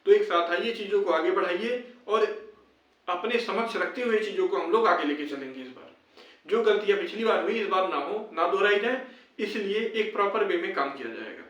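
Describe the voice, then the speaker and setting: A man's voice: sits high, lively intonation, moderate pace, a bit bright. A young man gives an informal update, explaining and urging with frequent repetition. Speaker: video host addressing fellow job candidates. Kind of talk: informal update and appeal to viewers. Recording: very echoey room, distant microphone.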